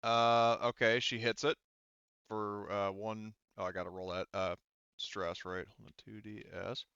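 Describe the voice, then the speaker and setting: A man's voice: fairly low pitch, little pitch movement, measured pace, sharp tone, smooth, no room sound, lo-fi sound. A man running a tabletop roleplaying game as game master, hesitantly thinking aloud as he works through the rules in a casual way.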